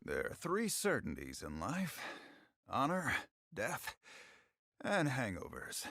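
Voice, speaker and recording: A man's voice: medium pitch, wildly swooping pitch, slow, gravelly, bright, forceful tone, no room sound, clean studio sound, exaggerated voice. An actor voicing a weary, honor-haunted male swordsman from a video game, with terse, solemn and wry delivery. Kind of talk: video game character voice lines.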